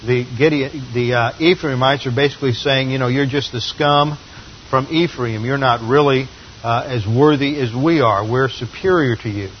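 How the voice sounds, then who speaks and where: A man teaching a Bible passage verse by verse, explaining and correcting himself as he goes. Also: mid-range voice. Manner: explanatory, with frequent fillers and self-corrections. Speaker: pastor teaching a Bible study. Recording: slightly dull lo-fi recording with faint hiss.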